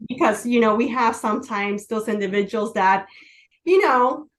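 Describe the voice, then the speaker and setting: A woman in her forties from Chicago, a native Spanish speaker, telling personal stories as a podcast guest in an earnest, halting way with many fillers. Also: fairly high pitch. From Chicago, USA. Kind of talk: casual interview, personal storytelling.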